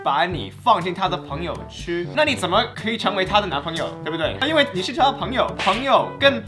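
A young man in his twenties hosting a casual debate game in a bright studio room, with playful, quick banter. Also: sits high, expressive. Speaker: host. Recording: music playing behind.

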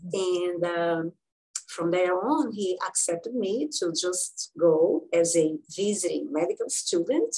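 A woman in her sixties from Brazil with a Brazilian Portuguese accent, telling her career story as an interview guest, with frequent pauses and fillers. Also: fairly low pitch, expressive, dark tone, slightly rough, rough recording. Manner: halting, many fillers and restarts. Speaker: guest physician-researcher.

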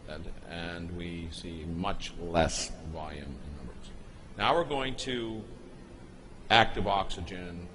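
Low-voiced guy talking slow over a video, lots of pauses, noisy hiss behind. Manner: slow, halting explanation with pauses and restarts. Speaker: narrator explaining a product video. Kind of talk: unscripted voice-over commentary.

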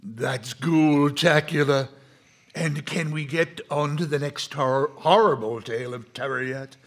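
An actor playing a macabre, punning horror host with a theatrical, teasing manner in a live radio show. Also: male voice, a little high, measured pace, close to an everyday voice. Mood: impatient, ghoulish. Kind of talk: old-time radio horror hosting.

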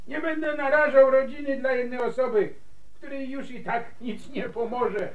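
A man's voice: extremely high pitch, fairly even intonation, moderate pace. An actor playing a hard-hearted, stern father-in-law in a radio drama, unyielding in manner. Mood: stern, cold. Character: hard-hearted, fearful father-in-law barring his door. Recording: dull, roomy, echoing radio recording.

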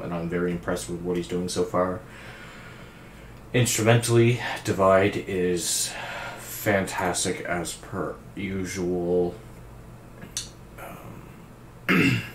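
A young man with a very low, naturally raspy voice, talking slowly and easily in casual reaction commentary. He swears freely and praises earnestly. His voice rings around a roomy room with a faint hiss behind it. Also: level tone. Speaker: music reaction host. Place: indoor room at a streaming desk.

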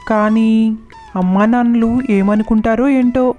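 A dismissive grown son from a moral storybook, voiced by a woman. The delivery is flat and matter-of-fact, with a dark, soft timbre and faint music behind. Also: a little high, measured pace, dull audio, lightly acted. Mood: evasive. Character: dismissive adult son, evasive with his parents. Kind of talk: storybook dialogue.

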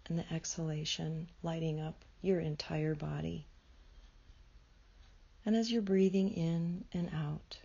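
A woman leading a guided meditation in a slow, soothing way, often dropping into soft whispers. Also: low-pitched, muffled recording.

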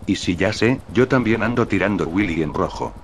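Man, young, Rioplatense accent, lowish voice, rowdy casual commentary, loud game engine roar behind. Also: expressive, normal speed, noisy background. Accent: Rioplatense Spanish. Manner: rowdy, swears at other drivers. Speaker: gaming motovlogger.

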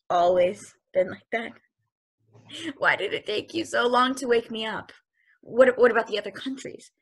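Girl reading as a nervous newly woken space probe, full of questions, bright sharp voice, video call table read. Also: medium pitch. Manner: halting, questioning, increasingly urgent. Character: anxious, inquisitive, newly awakened space probe. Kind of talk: table read of a stage play. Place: home room, over a group video call.

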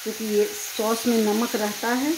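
A woman's voice: a little high, level tone, moderate pace, noisy background. A woman hosting a home cooking channel, explaining each step plainly in her kitchen. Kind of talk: home cooking demonstration voice-over.

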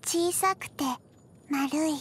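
A tiny zombie girl from a video game. Her voice is high and childlike, and she speaks very slowly in soft, halting pieces. She sounds emotionless yet gentle, as if memory comes to her a bit at a time. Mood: flat, drowsy. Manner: halting, deadpan, soft-spoken with frequent pauses. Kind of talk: scripted video game character voice lines.

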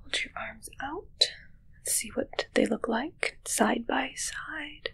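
A young woman playing a mischievous, fussy witch in a breathy, teasing whispered ASMR roleplay. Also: a little high, slow pace, sharp tone, full character voice. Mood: deadpan, fussy. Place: small indoor set with curtains. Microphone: close ASMR microphone.

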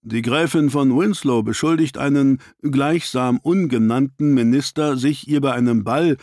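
A man's voice: medium pitch, moderate pace, darkish tone, no room sound, natural voice. Guy playing the detective's earnest doctor pal, formal, explains things, audiobook, dry sound. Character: earnest, well-informed gentleman doctor, detective's companion.

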